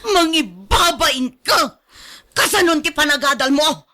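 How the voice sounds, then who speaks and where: A woman's voice: high voice, expressive, measured pace, bright, forceful tone, exaggerated voice. A woman acting a proud, outspoken middle-aged mother in a radio drama, emphatic and melodramatic, with an Ilocano accent. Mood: anguished, angry.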